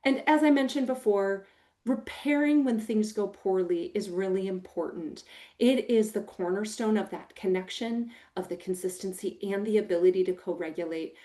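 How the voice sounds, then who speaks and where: Mellow, big-voiced American woman in midlife, an emphatic trainer. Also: medium pitch. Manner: expressive, emphatic, warm-hearted teaching with a big voice. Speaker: program manager giving a training talk. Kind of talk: recorded training talk to foster parents.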